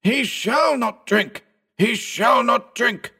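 A man's voice: medium pitch, slow, mellow, voice pushed up, lightly acted. A man voicing an old male barroom derelict with a mild, cultivated voice, in an audiobook reading. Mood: roaring.

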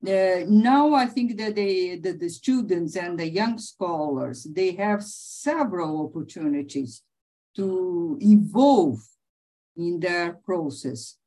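Slow, halting middle-aged woman professor. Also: on the low side, animated, mellow. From Brazil. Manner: halting, many restarts and fillers, laughs often. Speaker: interviewee, professor. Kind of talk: conversational interview.

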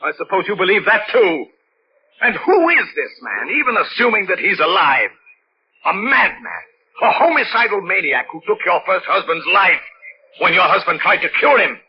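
A menacing stepfather, sharp and insistent, highish voice with big swings, vintage radio thriller. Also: very animated, normal speed. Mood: agitated, accusing. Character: domineering, rattled, menacing husband and stepfather. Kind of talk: 1940s radio mystery acting. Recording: dull, hissy old radio recording.

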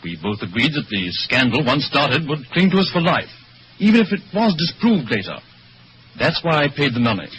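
An actor playing a dignified, anxious lord in an old-time radio drama, speaking formally and gravely. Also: male voice, mid-range voice, very animated, normal speed, fairly bright tone. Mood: troubled, defensive. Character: anxious, dignified male aristocrat, honourable husband. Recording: faintly noisy old radio recording.

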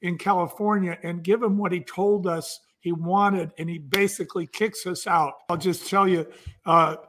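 A man's voice: high-pitched, fairly even intonation, normal speed, fairly bright tone, a little hiss or hum. A man speaking as an entrepreneur guest, telling stories in an enthusiastic, conversational way.

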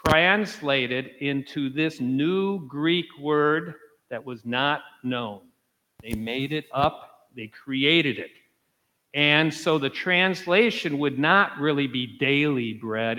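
Slow-speaking priest in a reverberant church. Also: male voice, on the high side, sharp tone. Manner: slow, explanatory, self-correcting with light laughs. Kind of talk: teaching homily at Mass.

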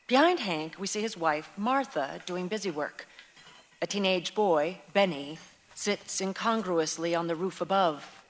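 A woman's voice: fairly low pitch, a little slow, close to an everyday voice. A woman reading stage directions as the narrator of a radio play. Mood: descriptive. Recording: reverberant hall.

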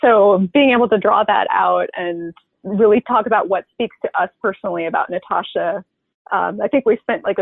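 A woman's voice: mid-range voice, no room sound. A woman, a head writer, talking enthusiastically and laughing easily in a casual interview. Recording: tinny phone-like line.

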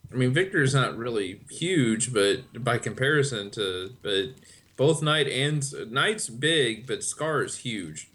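A man's voice: mid-range voice, animated, takes it slowly, a bit bright. A man co-hosting a casual podcast, hesitant and full of fillers. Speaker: podcast co-host.

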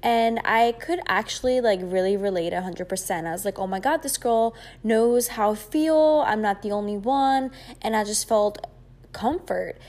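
A woman's voice: mid-range voice, normal speed, a little mellow. A woman in her twenties from Greece, hosting a podcast and chatting casually on her own, with lots of fillers and rambling asides. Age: 20s. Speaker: podcast host. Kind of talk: casual solo podcast chat.

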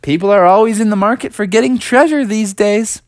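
A man voicing a small, friendly, laid-back mouse with a squeaky, casual way of talking for a children's story. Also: a little fast, no room sound, studio quality. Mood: sheepish.

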